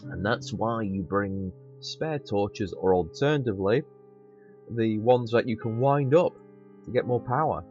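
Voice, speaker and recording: A man's voice: fairly low pitch, unhurried, darkish tone, highs cut off, faint music behind. A man hosting a game playthrough, commenting casually with wry, conversational asides. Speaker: host of a gaming channel.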